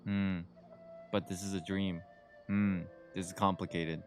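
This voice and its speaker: A man's voice: low voice, little pitch movement, measured pace, darkish tone, faint music behind. A man giving casual, slangy playthrough commentary as a game streamer, with muttered offhand reactions.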